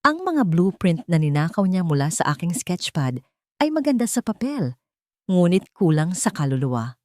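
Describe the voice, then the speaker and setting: A woman's voice: lowish voice, sing-song, normal speed, a little mellow, no echo, studio quality. A woman with a Filipino accent narrating a scripted, dramatic first-person story in the manner of an audiobook.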